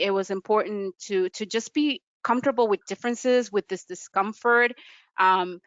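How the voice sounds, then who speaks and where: Smooth-voiced reflective woman on a call. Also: medium pitch, a bit bright, no echo. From United States. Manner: earnest, reflective, loose with fillers. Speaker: panelist. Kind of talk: personal account on a panel. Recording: dull lo-fi video call audio.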